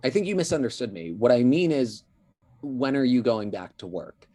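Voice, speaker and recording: Woman doing nosy immature freeloader, really low raspy voice, brash, all over the place, comedy read. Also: sing-song, moderate pace, dark tone, full character voice. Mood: needling. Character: freeloading adult housemate, nosy, cheeky, immature. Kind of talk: table read of a comedy script.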